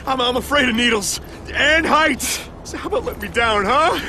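An actor with an American accent playing a frightened, stammering street thug who pleads nervously in a video game. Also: male voice, very high-pitched, moderate pace, pushed and bright, a little hiss or hum, heavily acted. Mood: nervous, pleading. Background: music.

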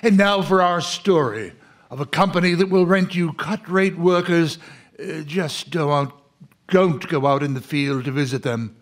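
Slow, theatrical, macabre male horror host. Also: a little high. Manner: macabre, florid, hammy. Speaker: host of a horror radio show. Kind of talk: theatrical horror-host introduction for live old-time radio. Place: live stage show.

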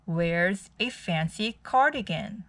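Woman with lively storytime intonation. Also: mid-range voice, takes it slowly. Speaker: children's storytime host. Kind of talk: picture book read-aloud for young children.

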